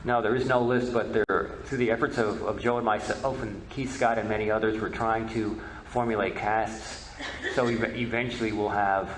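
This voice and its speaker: A man with a fairly low voice speaks in a roomy, echoing space with faint noise. He answers questions as a presenter, haltingly, often restarting with repeated words. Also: steady tone. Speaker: panel presenter, old-time radio researcher. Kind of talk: answering audience questions at a convention talk. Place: echoey convention meeting room.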